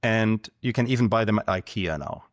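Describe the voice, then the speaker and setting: A man from Germany with a German accent, explaining things in a measured way as a podcast guest. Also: lowish voice, no echo, clean studio sound. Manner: measured, explanatory, numbers-driven. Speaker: guest, company founder. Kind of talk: podcast interview.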